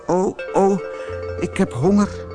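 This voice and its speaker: A man's voice: sits high, takes it slowly, over music, pitched above the performer's own voice. A man voicing a naughty, headstrong wooden puppet boy in a children's storybook. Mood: hungry. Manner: bratty, exclamatory.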